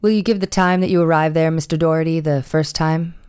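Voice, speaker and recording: Woman playing a low, mellow, persistent defense attorney. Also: steady tone, fairly quick, clean tone, lightly acted. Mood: probing. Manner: formal, pressing questioning. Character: persistent, probing male defense attorney at 1890s trial. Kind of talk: audio reenactment of a court transcript.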